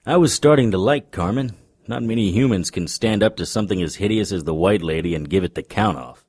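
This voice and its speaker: An actor playing a wry, unflappable occult detective, narrating an audio drama in a dry noir style. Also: male voice, fairly low pitch, brisk, a little mellow, slightly rough. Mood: wry, tense.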